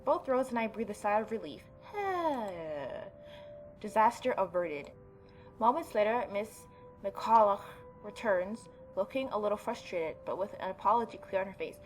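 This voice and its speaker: A woman's voice: medium pitch, expressive, a little slow, faint music behind, low-quality recording. Chatty woman reading and reacting casually. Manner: chatty, reactive, informal asides. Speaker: let's play host. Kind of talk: reading a visual novel aloud with casual commentary.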